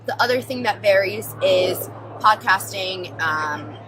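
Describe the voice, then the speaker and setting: A woman's voice: mid-range voice, lively intonation, takes it slowly, noisy background. An American woman speaking as a guest in a casual interview, explaining things in an informal, chatty way. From born in Israel, grew up mostly in New Jersey, US.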